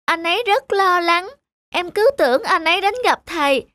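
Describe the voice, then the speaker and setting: An anxious, very high cartoon little girl. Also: moderate pace, sharp tone, no room sound. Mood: worried. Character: anxious, devoted little sister, a young girl. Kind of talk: children's cartoon dub.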